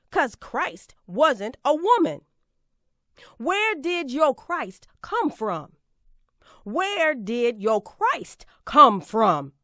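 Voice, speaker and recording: Fierce plainspoken older woman orator , read by a woman; high, lively pitch, slow deliberate delivery, dry close sound. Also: no room sound, heavily acted. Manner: folksy rhetorical questions, rising to emphatic refrains. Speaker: reader performing a historical speech. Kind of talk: dramatic recitation of an 1851 speech.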